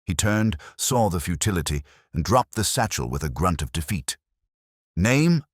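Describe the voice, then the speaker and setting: Very deep male storyteller, expressive delivery. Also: moderate pace, husky, dry recording, clean studio sound. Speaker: narrator of a detective story. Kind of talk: scripted dramatic audiobook narration.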